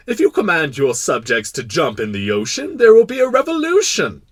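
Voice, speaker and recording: A man voicing a proud, kindly but pompous king in a storybook, making imperious declarations with drawn-out words. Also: a little high, huge pitch swings, breathy edge, no echo, clean studio sound, voice pushed up, full character voice. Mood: lofty, reasoning. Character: proud, kindly, pompous absolute monarch of a tiny planet.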